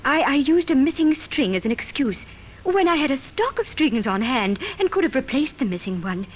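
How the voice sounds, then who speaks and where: An actress playing a genteel, fluttery widow in an old radio drama, hesitant and plaintive in manner. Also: female voice, high-pitched, very animated, moderate pace. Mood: nervous, pleading. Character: genteel, fluttery widow under suspicion of murder. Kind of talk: 1950s radio drama acting. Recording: dull, noisy old radio recording.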